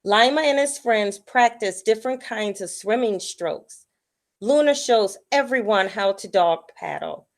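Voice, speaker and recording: A woman reading a picture book aloud to children in a deliberate storytelling manner. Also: medium pitch, lively intonation.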